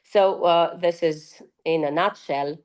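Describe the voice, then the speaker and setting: Slow, hesitant woman teacher. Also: lowish voice, darkish tone, clear, resonant tone. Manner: hesitant, with repeated restarts. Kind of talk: panel discussion answer. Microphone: online video call.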